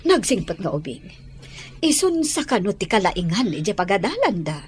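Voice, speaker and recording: A woman's voice: medium pitch, big pitch swings, normal speed, breathy edge, dry recording. A woman playing a kindly, weary lady of the house in an Ilocano audio drama. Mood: soothed, affectionate.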